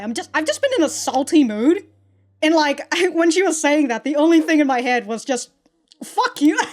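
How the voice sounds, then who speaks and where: Animated young woman reacting to anime. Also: high-pitched. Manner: animated, laughs often, swears. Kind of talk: casual reaction commentary. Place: small room at a desk, indoors.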